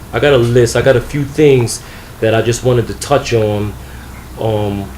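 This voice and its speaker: A man's voice: mid-range voice, darkish tone, a little hiss or hum. Earnest man hosting an interview, echoey. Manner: measured, filler-heavy, earnest. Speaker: interviewer, magazine publisher. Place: guest's home.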